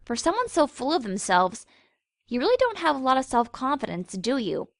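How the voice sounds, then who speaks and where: A woman voicing a sensible, wry young female pony in a cartoon fan fiction, gently scolding and matter-of-fact. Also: sits high, sing-song, brisk, dry recording, studio quality. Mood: teasing.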